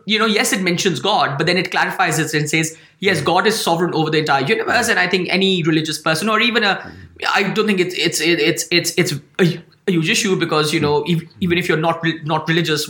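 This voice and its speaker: A man's voice: sits high, pushed and bright, echoey room. A man explaining his ideas in a conversational discussion, often repeating words while he thinks.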